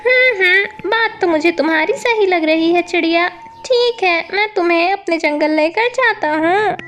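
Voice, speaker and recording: A very high, swooping voice done by a woman for a male parrot in a children's cartoon. He is chatty and full of questions, with a helpful temper. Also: animated, moderate pace, fairly bright tone, soft background music. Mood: reassuring. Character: male parrot, suspicious at first but helpful and kindly. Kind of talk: animated children's story dialogue.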